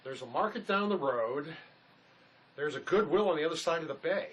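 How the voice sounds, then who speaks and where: A man's voice: fairly high pitch, expressive, brisk, fairly bright tone, low-quality recording. A man acting as a laid-back, resourceful escaped convict in a drama, speaking in a dry, folksy, matter-of-fact way. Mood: easygoing. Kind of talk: dramatic script performance.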